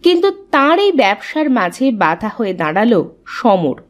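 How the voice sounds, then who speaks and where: Animated, high-voiced woman narrator. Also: a little slow, muffled recording. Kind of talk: scripted storybook narration.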